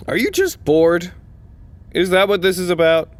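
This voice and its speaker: A man's voice: high-pitched, sing-song, moderate pace, slight background noise. A man playing a stubborn, unimpressed holdout in an audio drama, speaking tersely and firmly. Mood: dismissive. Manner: terse, firm.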